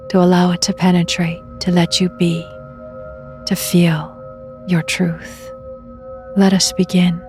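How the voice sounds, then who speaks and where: A woman leading a guided meditation, speaking in measured, deliberate phrases with soft endings and pauses. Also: fairly low pitch. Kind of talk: guided meditation, slow spoken readings with long pauses. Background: meditation music at beginning and end.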